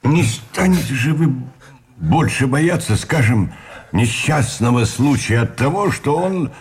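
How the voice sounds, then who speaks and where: An actor playing a condescending, philosophical Russian army colonel in a radio play, with patronizing, deliberate phrasing. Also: male voice, mid-range voice, animated, slight background noise, natural voice. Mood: patronizing, reasoning.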